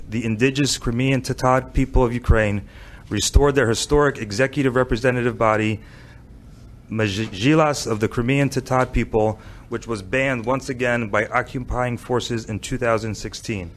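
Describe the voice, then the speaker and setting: A man's voice: mid-range voice, steady tone, normal speed. A man reading a formal proclamation aloud as a township official at an indoor public meeting. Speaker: township business administrator. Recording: public meeting room, faint noise.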